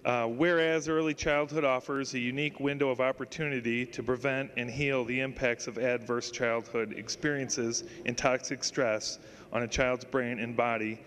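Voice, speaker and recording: A man's voice: mid-range voice, a bit bright. Middle-aged man, reverberant room, faint noise. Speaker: state legislator. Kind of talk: formal reading of a resolution. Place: large indoor legislative chamber. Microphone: handheld floor microphone.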